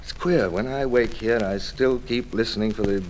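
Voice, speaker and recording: Low-voiced, grumbling British sea captain played by an actor. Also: male voice, normal speed, background noise, lightly acted. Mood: wistful. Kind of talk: 1940s-50s radio drama acting. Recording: vintage radio drama recording.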